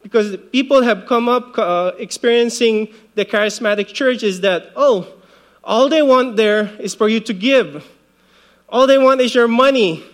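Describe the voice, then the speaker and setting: A man's voice: extremely high pitch, a little slow. A man in his forties from the Philippines, preaching a sermon in a conversational way with light asides and laughs. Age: 40s. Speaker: pastor.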